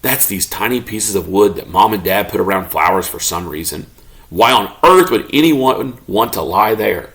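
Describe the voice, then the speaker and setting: Gravelly-edged man reading a children's story. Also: fairly low pitch. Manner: expressive, pauses to ask the child questions. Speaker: storyteller, father reading aloud to his child. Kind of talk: expressive children's read-aloud, narrating as a pet dog.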